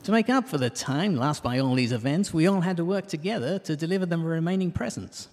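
A man playing a cheeky, self-mocking detective who narrates a comic audio drama in rhyme, landing puns deadpan. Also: on the high side, gravelly, heavily acted. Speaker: narrator and lead character. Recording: reverberant hall sound.

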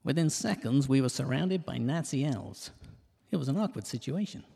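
A man playing a cheeky, self-mocking detective who narrates a comic audio drama in rhyme, landing puns deadpan. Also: medium pitch, moderate pace, gravelly, breathy edge. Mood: wry storytelling. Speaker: narrator and lead character. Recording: reverberant hall sound.

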